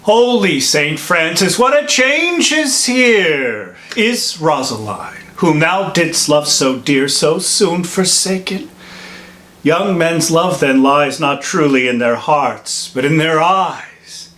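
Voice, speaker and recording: Man playing a slow-spoken, theatrical old friar. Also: on the high side, fairly bright tone. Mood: astonished, chiding. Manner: deliberate, measured, theatrical verse delivery. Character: old holy friar, wise, reflective, scolding mentor. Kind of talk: classical Shakespearean stage monologue.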